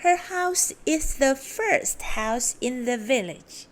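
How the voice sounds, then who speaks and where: A woman voicing a sweet, innocent little girl in a storybook reading, high and sing-song. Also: lively intonation, slow pace.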